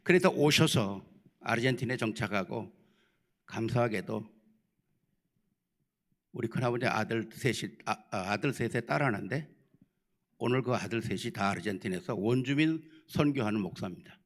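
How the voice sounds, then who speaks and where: A man's voice: mid-range voice, expressive, moderate pace, long pauses. A Korean man giving a grateful missionary testimony at a church panel, talking earnestly and stopping now and then to restart.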